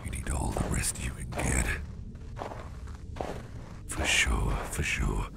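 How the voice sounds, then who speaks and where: A man playing a caring, slyly warm boss in an ASMR roleplay, talking in a gravelly half-whisper with a rambling, folksy, reassuring manner. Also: rumbling low, steady tone, slow, very noisy, heavily acted. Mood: tender, reassuring. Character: caring, gruff-voiced boss, a man with sly, soothing warmth. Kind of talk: soft ASMR roleplay, addressing the listener.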